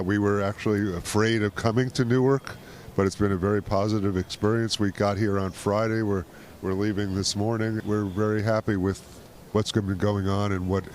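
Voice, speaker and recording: A man gives a short traveler's interview for the news. His voice is fairly low, and a faint airport ambience sits behind it. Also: a little hiss or hum. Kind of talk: vox pop interview.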